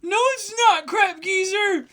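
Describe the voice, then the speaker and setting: A man's voice: extremely high pitch, slow, voice pushed up, heavily acted. A man voicing a brash, cocky, emotional young boy cook in a dramatic manga reading. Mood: cocky, bratty. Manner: shouty, bratty.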